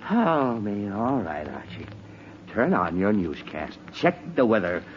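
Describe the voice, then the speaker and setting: A man's voice: lowish voice, sing-song, slow pace. An actor playing an exasperated, sputtering middle-aged father in a 1940s radio comedy. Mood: weary, exasperated. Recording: muffled, hissy 1940s radio recording.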